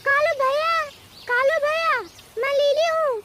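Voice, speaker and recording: A performer voicing an anxious, pleading mother bird in an animated moral story, over loud background noise. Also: very high-pitched, a little slow, full character voice. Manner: worried, pleading.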